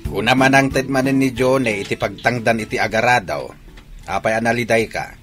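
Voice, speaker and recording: A man playing a gruff, short-tempered, scornful father in an Ilocano radio drama. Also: mid-range voice, fairly quick, music in the background, lightly acted. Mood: questioning.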